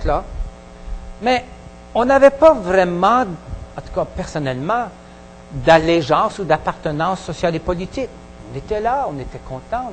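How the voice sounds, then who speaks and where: A pastor with a Québécois French accent preaching a sermon in a halting way, with many pauses and restarts. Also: male voice, fairly high pitch, in a large hall, slight background noise, rough recording. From Quebec. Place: church hall.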